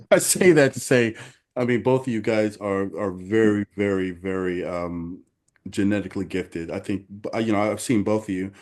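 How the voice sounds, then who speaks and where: A man in his forties hosting a casual podcast, laid-back and encouraging, often saying "you know". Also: lowish voice. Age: 40s. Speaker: podcast host.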